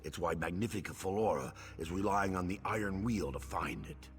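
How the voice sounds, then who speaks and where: A man's voice: deep voice, a little fast, big-room sound, soft background music. A man voicing a wry, musing thieves guild member in a video game, with a theatrical delivery.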